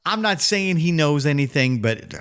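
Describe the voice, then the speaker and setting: A man's voice: fairly high pitch, lively intonation, normal speed, fairly bright tone, clean tone. A man co-hosting a podcast in a casual phone chat, joking and sarcastic with a salty tongue. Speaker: podcast co-host.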